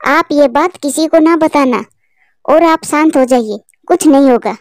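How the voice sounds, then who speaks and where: A woman's voice: sits high, a little slow, no room sound, clean studio sound. A woman voicing a worried, loyal female pigeon wife in a cartoon. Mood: anxious. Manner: soothing, anxious.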